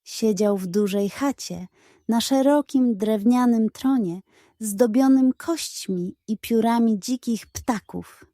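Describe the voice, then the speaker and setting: A woman's voice: on the high side, takes it slowly, dark tone, no echo, clean studio sound. A woman narrating a scripted bedtime story for children.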